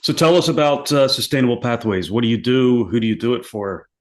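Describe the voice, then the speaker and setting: Male podcast host with a mid-pitched voice. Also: fairly quick. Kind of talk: conversational interviewing.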